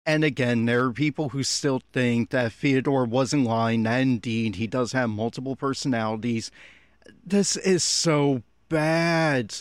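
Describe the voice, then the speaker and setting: A man with a middling pitch delivers critical commentary in the style of a reaction podcast. His manner is blunt and exasperated. The recording is dry and close, with little room sound. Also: a little slow, clean tone, dry recording. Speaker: podcast host giving audio commentary.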